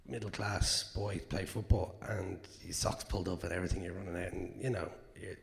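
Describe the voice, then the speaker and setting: An Irish man with a low, gravelly, naturally hoarse voice talks through his craft in a loose, rambling way, swearing now and then. His words trail off into a long reverb from the hall around him. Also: steady tone, brisk. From Ireland. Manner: candid, rambling, with fillers and swearing. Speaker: actor guest. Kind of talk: live Q&A answers.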